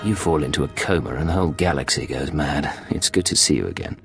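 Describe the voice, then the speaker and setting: Low-voiced, brisk secret agent. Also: male voice, expressive, gravelly, soft background music, heavily acted. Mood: dry, skeptical. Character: cool, skeptical male secret agent. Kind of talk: video game voice acting.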